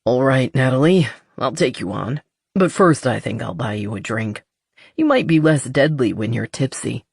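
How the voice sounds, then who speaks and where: A narrator voicing a reserved, wry man who flirts quietly in a romance audiobook. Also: mid-range voice, animated, normal speed, creaky, slightly rough, dry recording, studio quality. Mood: wry flirting.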